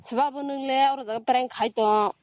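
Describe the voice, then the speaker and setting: A man chats casually on a phone call in a strikingly high-pitched voice. The sound is narrow and tinny, as if heard down a phone line. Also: fairly even intonation, normal speed. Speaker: prank caller.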